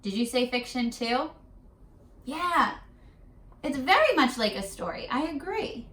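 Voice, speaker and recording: A woman teacher leading a read-aloud lesson for young children, in an animated, questioning, sing-song way. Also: high voice, unhurried, slight background noise. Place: classroom-style room. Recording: echoey room, distant mic.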